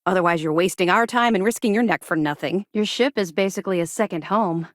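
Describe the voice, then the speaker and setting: A woman playing a disciplined, no-nonsense military officer in a sci-fi video game, speaking in a crisp, formal, businesslike way. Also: medium pitch, fast talker, no echo, studio quality, natural voice. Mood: businesslike, firm. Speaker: military officer briefing the player. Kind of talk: scripted video game dialogue.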